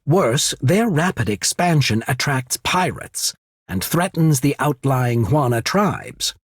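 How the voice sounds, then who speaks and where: A man voicing a shrewd, courteous trading company secretary in a video game, speaking formally and diplomatically with subtle disdain and a husky voice. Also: medium pitch, dry recording, clean studio sound. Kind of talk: video game character voice acting.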